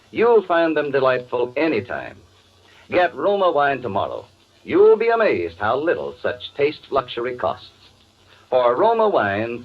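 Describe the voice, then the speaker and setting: A man working as a radio announcer, reading a scripted 1940s commercial in a polished, emphatic way. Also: medium pitch. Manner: polished, emphatic, spells out words slowly. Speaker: radio announcer and sponsor's pitchman. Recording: muffled, noisy 1940s radio recording.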